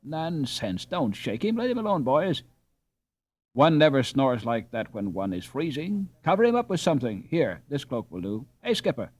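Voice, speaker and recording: A man voicing a calm, kindly boy captain with a measured, assured manner in a storybook reading. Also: mid-range voice, no room sound. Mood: calm, reassuring.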